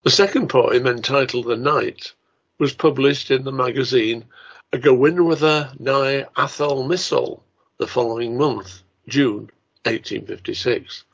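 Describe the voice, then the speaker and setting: Man, 70s, measured and formal reciter-lecturer, mid-pitched, slightly muffled webcam audio. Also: gravelly, husky. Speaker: writer and lecturer. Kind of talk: scripted talk and poetry recital.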